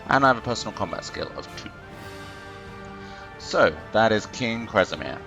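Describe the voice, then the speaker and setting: A man's voice: on the low side, lively intonation, normal speed, over music. A man hosting a casual gameplay tutorial, explaining loosely with lots of fillers at a desk microphone. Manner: rambling, filler-heavy explaining. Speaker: let's play host.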